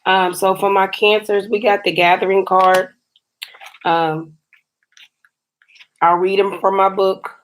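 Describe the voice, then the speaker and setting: A woman reading tarot cards for her viewers in a chatty, encouraging way, her voice a little scratchy and raspy today. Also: medium pitch, little pitch movement, takes it slowly, long pauses. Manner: chatty and encouraging, often says "you guys". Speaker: tarot card reader.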